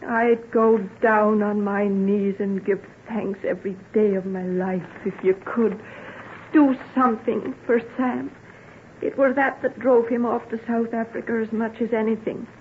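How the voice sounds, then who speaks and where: A woman's voice: on the high side, steady tone, measured pace, slight background noise, close to an everyday voice. Actress as a sorrowful woman, tinny old radio. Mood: pleading, hopeful. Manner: earnest rustic dialect storytelling. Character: plain-spoken sorrowful country woman, devoted to her family. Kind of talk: old-time radio drama acting.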